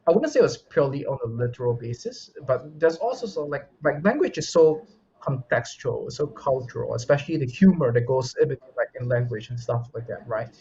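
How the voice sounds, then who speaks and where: A man's voice: mid-range voice, lively intonation, fairly quick, a little mellow, highs cut off. A man who coaches esports, answering interview questions at length in an articulate, explanatory way, often restarting phrases. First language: English.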